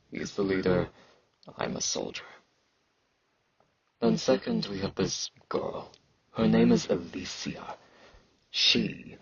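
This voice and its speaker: A man playing a blunt, awkward fantasy knight and warrior in an intimate ASMR roleplay, halting and dryly dismissive, with a low, gravelly, husky voice and sibilant S sounds. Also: dry recording, lo-fi sound, heavily acted. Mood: dry, reluctant.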